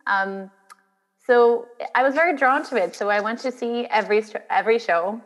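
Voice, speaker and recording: A woman's voice: on the high side, normal speed, in a large hall, low-quality recording. A woman from Brazil, a drag artist and guest, telling her story in a chatty interview, laughing now and then. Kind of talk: interview chat.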